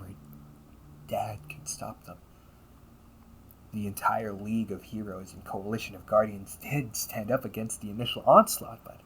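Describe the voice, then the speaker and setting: A man playing an earnest, weary time-traveling older brother turned villain in an amateur audio roleplay, speaking haltingly and often dropping to a breathy near-whisper. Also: mid-range voice, fairly bright tone. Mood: grim, somber. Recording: noisy amateur home recording.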